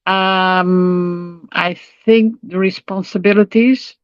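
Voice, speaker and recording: A woman in her sixties from Portugal, a retired teacher talking as a guest on a video call. She talks quickly, often stumbling and restarting. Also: fairly low pitch, steady tone, no room sound, lo-fi sound. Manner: speedy talker, stumbles and restarts.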